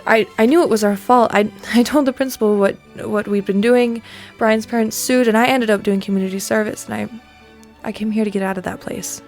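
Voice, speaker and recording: A woman playing an earnest, remorseful high school girl with a halting, confessional manner in an audio drama. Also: fairly even intonation, natural voice. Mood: guilty, earnest. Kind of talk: podcast audio drama acting. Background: music.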